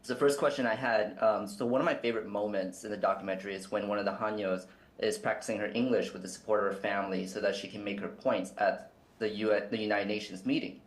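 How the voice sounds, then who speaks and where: A man's voice: lowish voice, fairly even intonation, fast. A man from the United States interviewing filmmakers, asking long, earnest questions with many fillers.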